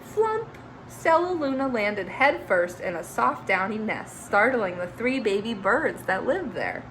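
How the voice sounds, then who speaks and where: A woman teacher reading a children's picture book aloud, expressive, with chatty asides to young listeners. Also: on the high side, a little slow, a little hiss or hum, poor audio.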